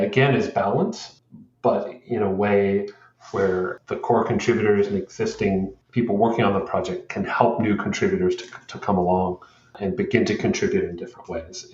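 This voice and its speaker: A man's voice: fairly low pitch, a little mellow. A man being interviewed as a guest, explaining his views thoughtfully and steadily in casual conversation. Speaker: guest, open source project co-lead. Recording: roomy, distant, dull sound.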